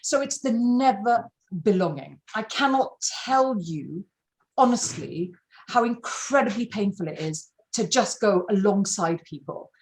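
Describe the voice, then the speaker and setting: A woman's voice: medium pitch, expressive, a little slow, darkish tone, low-quality recording. A middle-aged woman from Malawi, an interview guest speaking candidly and emphatically, often repeating words for stress.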